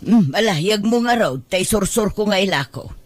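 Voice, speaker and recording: A woman acts as a middle-aged mother in an Ilocano audio drama. Her mid-pitched voice carries an Ilocano Filipino accent, and she sounds plaintive and reproachful, nagging like a needy parent. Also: animated, moderate pace, dry recording, close to an everyday voice.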